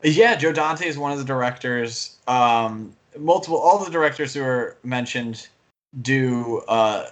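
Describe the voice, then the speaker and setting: A man's voice: mid-range voice, unhurried. A man co-hosting a podcast, talking casually about movies in an enthusiastic, rambling way. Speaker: podcast co-host and film fan.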